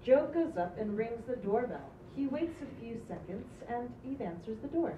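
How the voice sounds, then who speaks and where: A woman's voice: on the high side, big pitch swings, normal speed. Distant woman reading stage directions. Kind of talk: live script reading. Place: small stage in a room. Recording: distant, echoey, dull recording with clear noise.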